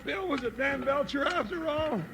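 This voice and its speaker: An actor playing an excitable, loyal comic cowboy sidekick with a folksy, exclamatory manner in a 1950s radio western. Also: male voice, very high voice, normal speed, creaky. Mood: folksy, relieved. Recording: noisy old radio broadcast recording.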